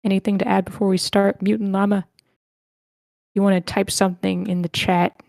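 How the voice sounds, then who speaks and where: A woman's voice: medium pitch, level tone, moderate pace, gravelly, darkish tone, dry recording. Woman hosting an online meeting, dry close sound. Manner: polite, informal, thinking aloud. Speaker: host of online table read. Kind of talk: casual hosting of a group meeting.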